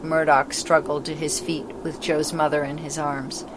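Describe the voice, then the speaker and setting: A woman narrates a scripted storybook. The recording carries clearly audible background noise and ambience around her reading. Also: low voice, flat delivery, moderate pace.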